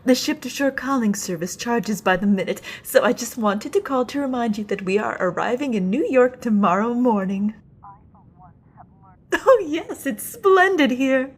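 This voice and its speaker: Actress as a chatty, fussy liner wife, high and husky. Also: female voice, moderate pace. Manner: bubbly, animated and nagging. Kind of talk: amateur radio play acting.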